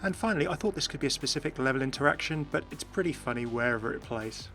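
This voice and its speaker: A man's voice: mid-range voice, lively intonation, fast, a bit bright. A man hosting a gaming channel, giving enthusiast commentary as he presents game voice clips. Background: background music.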